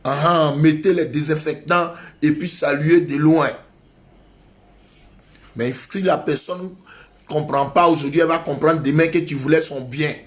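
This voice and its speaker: A man preaching in a fairly high voice, driving his points home with insistent, repeated exhortations. He has the steady, earnest push of a pastor addressing his congregation. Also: unhurried. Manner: emphatic, repetitive exhortation. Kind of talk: sermon.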